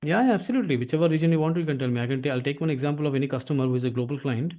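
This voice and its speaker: A man's voice: fairly high pitch, very fast, dark tone. A man with an Indian accent, a tutor chatting casually and persuasively with a prospective student, often repeating himself. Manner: repetitive, often tags sentences with "actually". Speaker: SAP course tutor.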